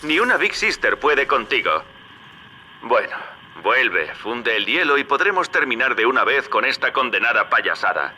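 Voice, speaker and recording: A man's voice: mid-range voice, sing-song, moderate pace, bright, forceful tone, a little hiss or hum, poor audio. A man with a Castilian Spanish accent playing a wily, folksy hustler in a video game, talking in a chummy, colloquial, paternal way. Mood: impressed. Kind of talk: video game character acting.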